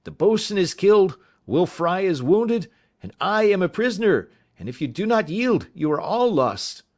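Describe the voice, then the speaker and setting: A man voicing a sailor who loudly hails his shipmates to surrender in a storybook reading. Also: sits high, big pitch swings, moderate pace, pitched above the performer's own voice. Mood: urgent shouting. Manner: loud hailing, urgent. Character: male sailor shouting a surrender call.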